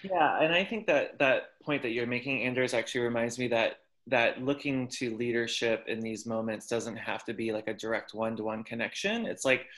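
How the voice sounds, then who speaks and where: A man's voice: mid-range voice, moderate pace, hardly any pauses, a bit bright. A man in a workshop video call, thinking aloud in a rambling, hesitant way with many fillers. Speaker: workshop participant. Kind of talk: casual discussion on a video call.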